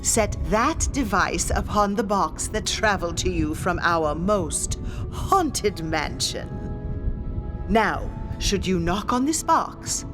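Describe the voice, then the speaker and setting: Snooty haunted mansion ghost lady, slow and dramatic, voice swooping around, scornful of mortals. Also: mid-range voice. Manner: haughty, theatrical, condescending. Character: imperious, scornful female ghost medium guarding a haunted mansion. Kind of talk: theatrical scripted monologue addressed to the listener.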